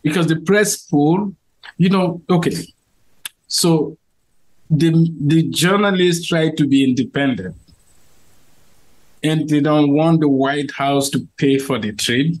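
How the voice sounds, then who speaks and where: Man, cameroonian accent, fairly high voice, earnest, lots of fillers, interview answers. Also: slow pace, pauses often, darkish tone, poor audio. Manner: earnest, with frequent fillers and restarts.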